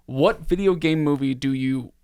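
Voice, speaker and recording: A man's voice: fairly high pitch, normal speed, creaky, a little mellow, smooth, dry recording, studio quality. A man hosting a podcast in casual chat, joking and given to exaggeration. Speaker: podcast host.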